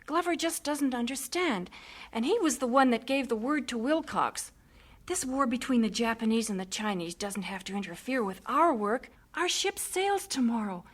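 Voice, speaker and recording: A woman's voice: a little high, animated, normal speed. An actress playing an impatient, headstrong missionary wife and mother in a radio drama, urgent and emphatic. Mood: agitated, urgent.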